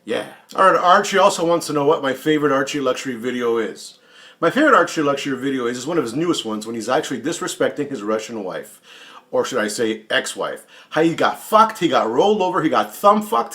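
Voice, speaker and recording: A man's voice: medium pitch, fairly bright tone. A man in his late forties answering another vlogger's questions in a casual, blunt, heavily profane and taunting way, often repeating himself for emphasis. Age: 40s. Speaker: YouTube watch channel host.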